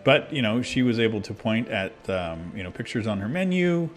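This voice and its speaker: A man with a fairly low voice talks while playing a game, rambling openly and circling back through fillers and false starts. Soft game music sits faintly under him. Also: sing-song, moderate pace. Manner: rambling and candid, many fillers and restarts. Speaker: gaming video creator. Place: abroad, recording at a computer.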